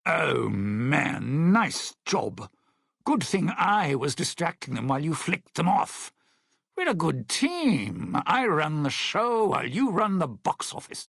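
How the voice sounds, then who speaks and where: An actor voicing a suave, bitter, century-old lounge singer in a video game, a sardonic and scheming showman with a raspy voice. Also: on the high side, very animated, slow, a bit bright, dry recording, studio quality, heavily acted. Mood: wry, friendly. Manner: theatrical, sardonic showman talking in stage metaphors.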